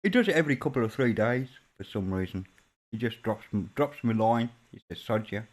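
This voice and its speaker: A man's voice: medium pitch, lively intonation, brisk. A man with a Birmingham accent hosting a casual live stream chat, talking loosely and repeating words as he goes. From England. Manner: chatty, stumbles and repeats words. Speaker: stream host.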